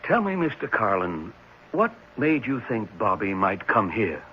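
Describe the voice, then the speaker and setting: Male doctor, assured, inquiring, low pitch, radio drama acting, faint hiss. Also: very animated, unhurried. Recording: dull, slightly noisy 1970s radio recording.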